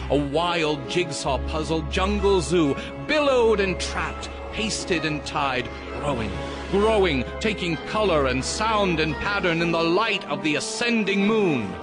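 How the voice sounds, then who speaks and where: Theatrical high-voiced male narrator over loud music. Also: expressive, unhurried. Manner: theatrical, emphatic, savoring lists of words. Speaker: audiobook narrator. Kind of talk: dramatic scripted narration. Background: prominent music.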